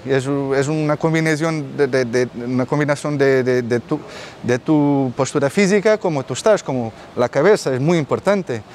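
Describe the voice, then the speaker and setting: A man's voice: mid-range voice, a little mellow, slight background noise. A man in his forties from Portugal with a Portuguese accent, answering interview questions outdoors in an earnest way, restarting and repeating words as he searches for phrases. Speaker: rally rider guest. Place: outdoor courtyard with artificial grass.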